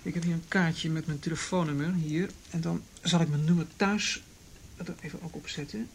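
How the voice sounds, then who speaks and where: A patient police officer in a radio play, with a fairly high male voice. He is courteous and coaxing as he asks careful questions. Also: fairly even intonation, moderate pace, vocal fry, a little hiss or hum. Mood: courteous, persuasive. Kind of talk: Dutch radio drama acting.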